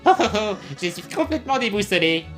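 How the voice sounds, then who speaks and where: A woman's voice: medium pitch, very animated, fairly quick, soft background music, natural voice. A woman voicing a childish, cheerful little villain prince in an amateur comic dub, with mock-evil chuckles. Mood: confused.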